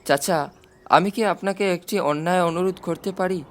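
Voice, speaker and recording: A man's voice: high-pitched, moderate pace, natural voice. A man voicing a respectful, caring young woman in a storybook reading, with a polite, earnest manner.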